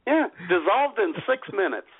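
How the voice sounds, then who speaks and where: A man's voice: sits high, big pitch swings, normal speed, husky. A middle-aged man co-hosting a comedy radio show in casual, wry banter. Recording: tinny, phone-like band-limited audio.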